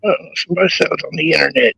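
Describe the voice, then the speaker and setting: A man's voice: fairly high pitch, rapid-fire, sharp tone, no room sound, low-quality recording. A man who is losing his voice, talking in casual crude banter as a caller on a live stream chat show, laid-back and rambling. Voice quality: gravelly, husky.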